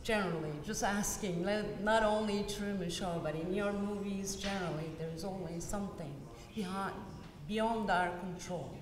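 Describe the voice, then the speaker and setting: A woman's voice: mid-range voice, steady tone, normal speed, few pauses, crowd noise. A woman interviewing a guest on stage at a university, asking her questions tentatively as she searches for phrasing. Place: university lecture hall. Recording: echoey hall with room noise.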